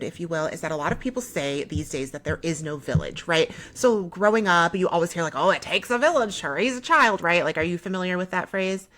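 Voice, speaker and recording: A woman's voice: deep voice, a bit bright. A young American woman hosting a podcast in casual conversation, chatty and explanatory, often checking in with the listener. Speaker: podcast host.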